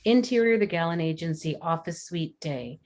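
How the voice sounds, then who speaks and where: A woman's voice: fairly low pitch, expressive, moderate pace, a little mellow. A woman narrating scripted stage directions for a screenplay at a table read.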